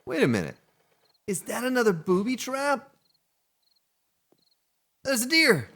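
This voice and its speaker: A man's voice: very high-pitched, wildly swooping pitch, unhurried, bright, forceful tone. A man casually commenting on his gameplay as a let's play host, chatting and joking with the characters on screen. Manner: chatty, jokes at game characters.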